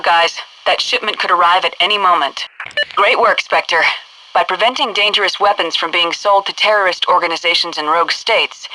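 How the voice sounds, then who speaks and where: A woman's voice: on the low side, bright, forceful tone, a little hiss or hum. An actress playing an authoritative female military mission commander in a video game, formal, clipped and commanding, heard slightly distant through a radio filter. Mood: approving. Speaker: military headquarters mission controller.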